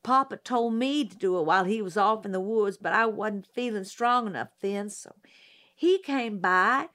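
A woman reading a storybook voices a frightened, defensive young woman witness of nineteen, with a high, strained, rustic way of speaking. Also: moderate pace, fairly bright tone, lightly acted. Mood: accusing.

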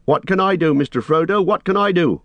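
A man voicing a loyal, excitable hobbit servant who chatters anxiously in rustic, deferential dialect, as in an audiobook. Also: sits high, a little fast, dry recording, voice pushed up. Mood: anxious shouting.

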